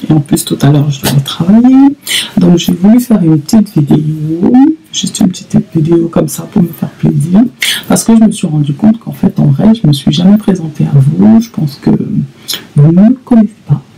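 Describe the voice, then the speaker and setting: Woman in her thirties with a gravelly voice. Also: fairly low pitch, expressive, moderate pace, warm tone, poor audio. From Congo (DRC). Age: 30s. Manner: hesitant, many fillers and repeats. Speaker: vlogger. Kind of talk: casual solo vlog to camera.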